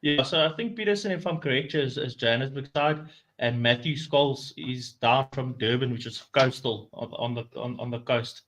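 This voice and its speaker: Man, South African, Afrikaans accent, native Afrikaans, middle pitch, hesitant casual podcast talk. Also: normal speed, few pauses, a bit bright. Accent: Afrikaans-accented English. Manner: hesitant, restarts often. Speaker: podcast guest.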